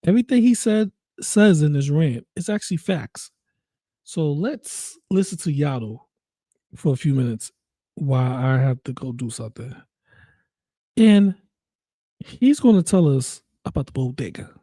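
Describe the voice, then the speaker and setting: A man casually commenting on a live stream, pausing and restarting often as he sets up a clip. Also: on the high side, lively intonation, warm tone, no echo. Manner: halting, many restarts and fillers, easygoing.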